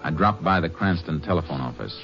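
A methodical Texas Ranger with a deep, steady voice. He questions calmly and deliberately, in 1950s radio drama style. The recording is vintage and muffled, with music in it. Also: creaky, exaggerated voice. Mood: narrating. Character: calm, methodical male Texas Ranger investigator.

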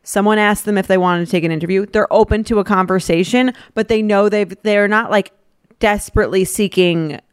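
A woman with a clean, resonant voice at a middling pitch talks casually as a podcast co-host. She thinks aloud as she gives advice, and the sound is close and dry. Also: steady tone, fairly quick, smooth, no echo.

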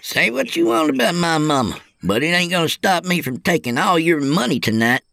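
Man acting young male trucker, raised pitch, brash, easily riled, audiobook character. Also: very animated, fairly quick, vocal fry, bright, forceful tone, no echo, clean studio sound, heavily acted. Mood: cocky. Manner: indignant, brash comebacks.

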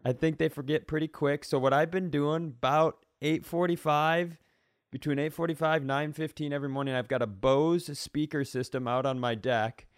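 A man's voice: on the high side, steady tone, normal speed, no room sound, clean studio sound. A man co-hosting a podcast, telling casual comic stories in a wry, rambling way. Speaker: podcast co-host. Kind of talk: casual comedic storytelling.

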